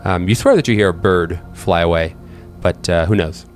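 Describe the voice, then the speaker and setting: A low-voiced man runs a tabletop game as its game master. He tells the scene in halting, measured pieces, improvising as he goes, with music beneath him. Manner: halting, hesitant, measured storytelling.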